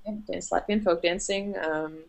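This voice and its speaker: A woman's voice: on the low side, steady tone, normal speed, creaky. A young woman from Canada, chatting upbeat as a guest on a podcast interview, with many fillers. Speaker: content marketing manager, interview guest.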